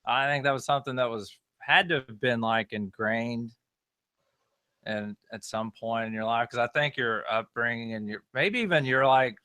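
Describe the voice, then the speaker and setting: A talkative man from Western Oklahoma presses his point in a podcast debate. His voice is bright and forceful at a middling pitch. The sound is dry, with no room echo. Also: normal speed, clear, resonant tone. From Western Oklahoma, United States. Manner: talkative, argumentative. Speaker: podcast co-host, history channel creator.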